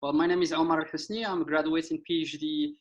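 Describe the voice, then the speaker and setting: A man's voice: a little high, fairly even intonation, fast talker, muffled recording. A young man from Morocco speaking as a guest on a radio talk show, precise and full of hesitations. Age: young adult. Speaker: guest, PhD graduate. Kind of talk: casual chat.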